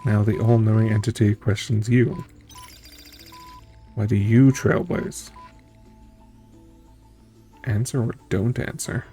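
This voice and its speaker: A man's voice: fairly low pitch, steady tone, takes it slowly, long pauses, mellow. A man streaming a story game, reading its text aloud and adding casual, musing reactions as he thinks it through. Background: game music.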